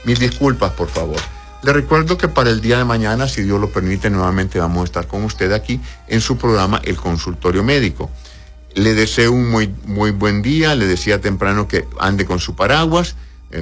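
A man hosting a call-in medical advice radio show, reading listener messages and giving brisk, blunt advice with blessings. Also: fairly low pitch, lively intonation, soft background music. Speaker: radio doctor answering listener questions.

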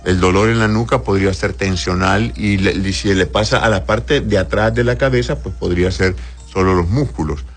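A man with a low, deep voice speaks over background music as a doctor who hosts an advice show. He is conversational and avuncular, addressing listeners with endearments and often checking that they follow. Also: moderate pace, breathy edge. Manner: avuncular, uses endearments and frequent checking tags. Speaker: doctor hosting a call-in advice show, answering listener messages. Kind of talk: conversational medical advice, reading messages aloud.

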